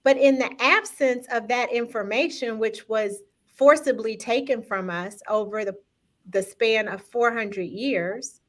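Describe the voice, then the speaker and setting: A woman's voice: fairly high pitch, measured pace. A woman hosting a live-streamed talk show, speaking directly to viewers in an upbeat, engaging way. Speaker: host.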